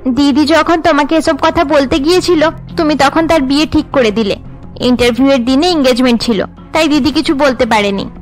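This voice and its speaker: Woman acting an earnest, high-voiced cartoon sister. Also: normal speed, faint music behind, close to an everyday voice, rough recording. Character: earnest young sister, outspoken and protective.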